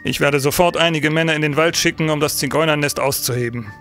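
An actor playing a formal, commanding police senator in a historical crime audio drama. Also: male voice, on the high side, fast, a bit bright, faint music behind. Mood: businesslike. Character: police senator, authoritative male official in historical crime case. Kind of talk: German audio drama acting.

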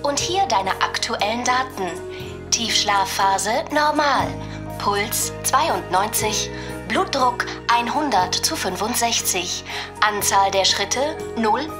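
A female digital nanny in a children's radio play. She speaks in a fairly high voice with stilted, formal precision. She stays polite but insistent, and music plays behind her. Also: lively intonation, takes it slowly. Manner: stilted, formal, over-articulated machine phrasing.

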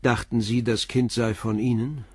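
An actor in a German crime radio play playing a calm, persistent middle-aged police commissioner who questions in a measured, blunt way. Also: male voice, medium pitch, slightly rough, dry recording, clean studio sound, natural voice. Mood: probing.

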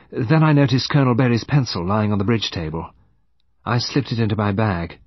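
A man's voice: lowish voice, no room sound, highs cut off, voice pushed up. A man voicing a prim middle-aged woman who confesses to murder, precise and emphatic, in an audiobook. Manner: clear, prim, emphatic diction.

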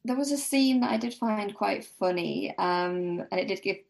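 A woman talks casually about books as a podcast guest. Her voice is distinctly creaky and sits at an unremarkable middle pitch. She is tentative and agreeable, quick to chuckle at her own remarks. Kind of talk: casual book discussion.

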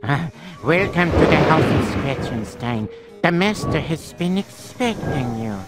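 An actor playing a gloomy, hulking monster butler in a cartoon game, speaking in a formal, servile and droll way. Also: male voice, a little high, expressive, measured pace, loud music behind the voice. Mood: dutifully gloomy.

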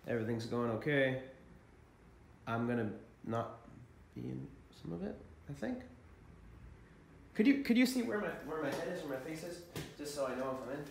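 A man's voice: medium pitch, very animated, normal speed, vocal fry, long pauses, slight background noise. A young man hosting a casual interview, chatty and self-deprecating. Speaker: host. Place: living room indoors. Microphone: far camera. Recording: echoey room from far off.

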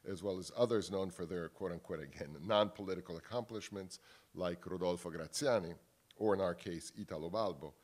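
A man, giving a measured academic panel talk at a table microphone in a wood-panelled hall, often restarting words. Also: deep voice, a little mellow. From Italy. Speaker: panel speaker. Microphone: table microphone on stand.

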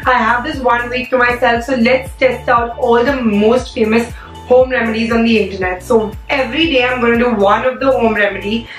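A young woman talks in a hoarse, fairly high voice, chatting casually to her viewers with plenty of "like" fillers. She sits a little back from the mic in an echoey room, with faint music underneath. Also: steady tone, normal speed, far from the mic. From India. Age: young adult. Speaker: beauty vlogger.

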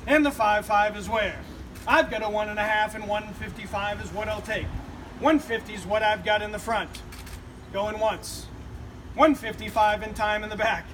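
Fast-chanting high-voiced auctioneer outdoors. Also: male voice, fairly even intonation, fairly bright tone. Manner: rhythmic, repetitive bid chant. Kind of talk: rapid auction calling. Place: outdoors on a street beside a building. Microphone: far camera. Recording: distant outdoor recording, street noise.

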